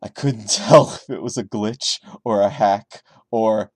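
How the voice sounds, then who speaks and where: A man with a fairly low voice reads a creepy story aloud in a theatrical style. He slips into sarcastic, disbelieving commentary as a podcast host would. The recording is close and dry, with little sense of a room. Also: a little slow, breathy edge, dry recording. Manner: theatrical narration broken by incredulous, sarcastic asides. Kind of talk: dramatic reading with mocking commentary.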